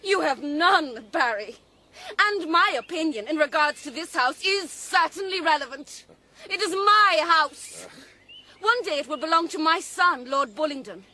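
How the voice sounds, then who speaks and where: A woman's voice: high-pitched, animated, measured pace, pushed and bright, slight background noise, heavily acted. A woman playing a proud, wronged aristocratic lady, imperious and indignant, in a period radio drama. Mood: scornful, defiant.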